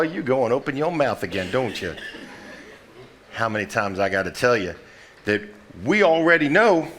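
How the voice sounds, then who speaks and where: A folksy heir acted by a man on stage with a medium-pitched voice. He is plain-spoken and sardonic, with a wry turn to his phrases. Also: fairly bright tone, slight background noise, close to an everyday voice. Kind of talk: live stage play acting.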